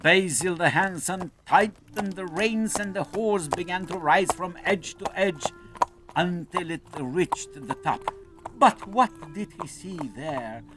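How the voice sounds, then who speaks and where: A man narrating a scripted children's fairy tale in a dramatic, deliberate storytelling manner. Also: sits high, background noise. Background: music.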